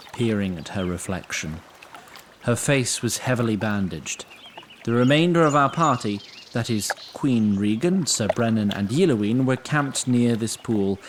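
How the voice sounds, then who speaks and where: A man's voice: fairly low pitch, a little hiss or hum. A man playing a wry, grandiose storyteller who narrates a fantasy tale in theatrical style and wanders into droll asides.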